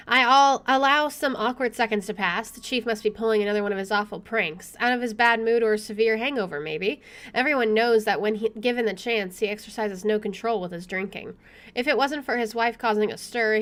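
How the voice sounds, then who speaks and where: High-voiced woman reading game text aloud. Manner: expressive reader, reacting with casual asides. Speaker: gaming video host.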